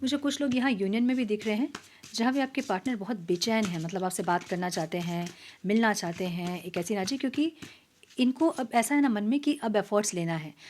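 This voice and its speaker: A slightly hoarse woman talks her viewers through a tarot reading in a relaxed, chatty way. Her voice sits in a middle range and sometimes sinks to a soft near-whisper. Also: mid-range voice, brisk, a little hiss or hum. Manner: soft, mixing Hindi and English, drops to near-whispers while shuffling. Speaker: tarot reader. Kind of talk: casual tarot reading monologue.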